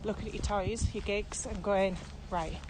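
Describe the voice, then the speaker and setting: A woman's voice: mid-range voice, steady tone, moderate pace. A middle-aged woman in a casual explanatory podcast chat, talking through things step by step in an emphatic, conversational way. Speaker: podcast co-host and experienced trainer. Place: outdoors, walking. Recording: outdoor walking recording, clear background noise.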